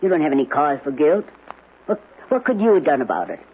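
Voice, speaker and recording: A man's voice: a little high, huge pitch swings, fast, slightly rough, exaggerated voice. An actor in a radio drama playing a blunt, probing male acquaintance with a folksy, questioning manner. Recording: muffled, tinny old radio recording.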